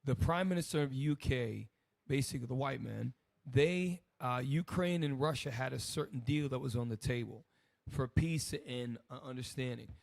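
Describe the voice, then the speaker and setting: A man, hoarse today, hosting a loose, rambling preaching commentary. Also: mid-range voice, normal speed, darkish tone, no room sound. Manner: loose and rambling, many restarts. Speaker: host.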